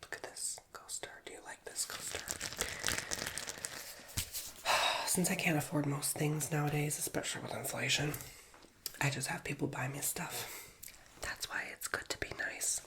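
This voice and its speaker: A man's voice: on the high side, moderate pace, long pauses. Whispering nasal man, ASMR counselor. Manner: chatty, rambling, self-mocking. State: recovering from a cold. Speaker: school guidance counselor in a roleplay.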